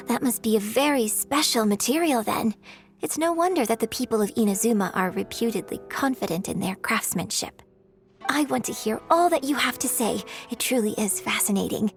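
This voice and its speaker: A woman playing a refined, courteous young opera performer in a video game, speaking with polite, formal, elegant phrasing. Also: a little high. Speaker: game character voice. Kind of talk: scripted video game dialogue.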